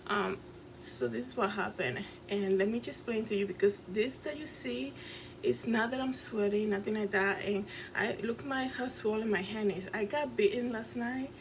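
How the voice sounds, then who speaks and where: A woman's voice: fairly high pitch, moderate pace. A woman from the Dominican Republic with a Dominican accent, earnestly recounting a dream in a casual, rambling vlog full of fillers, recorded in her apartment. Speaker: vlogger and fashion designer. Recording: clear background noise.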